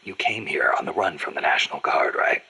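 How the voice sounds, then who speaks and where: An extremely husky, hard-edged soldier of middle age for a video game. He is laconic and gruff, growling terse remarks in a low, tense voice. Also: brisk, bright, forceful tone, full character voice, poor audio. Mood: gruff, probing. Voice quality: gravelly, raspy growl. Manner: terse, probing. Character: gruff, battle-worn middle-aged American soldier, laconic. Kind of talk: scripted video game voice acting.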